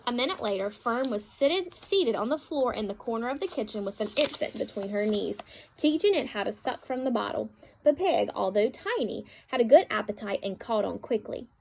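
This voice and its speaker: A woman's voice: fairly high pitch, animated, moderate pace, creaky, darkish tone, rough recording. A woman reading a children's story aloud as a storybook narrator. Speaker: storybook reader. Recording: faint background noise.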